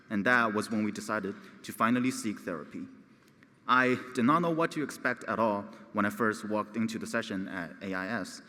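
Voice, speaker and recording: A man's voice: medium pitch, bright, forceful tone. A teenage boy who stutters, giving an earnest prepared speech at a gala. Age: teens. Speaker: gala speaker. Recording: long hall reverb.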